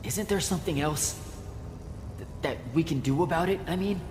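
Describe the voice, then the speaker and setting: An actor playing a scrappy, irreverent young battlemage hero in a video game, casual and slangy. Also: male voice, fairly high pitch, normal speed, music in the background, close to an everyday voice. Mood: uneasy, subdued. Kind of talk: naturalistic video game acting. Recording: noisy battle ambience behind the voice.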